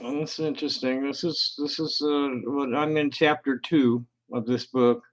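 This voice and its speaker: A middle-aged man vlogging casually, halting with many fillers and restarts. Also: medium pitch, fairly even intonation, poor audio. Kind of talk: casual talk to camera.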